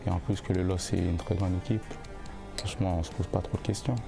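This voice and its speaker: A young male football player answering interview questions in a casual, halting way, often repeating words while he looks for the phrasing. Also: low-pitched, steady tone, gravelly, over music. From born in the United States, raised in Canada. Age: young adult.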